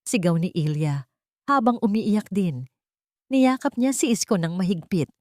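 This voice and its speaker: A woman's voice: medium pitch, expressive, measured pace, a little mellow, no room sound, clean studio sound. A woman with a Filipino accent reading a scripted, sentimental romantic drama as a storyteller.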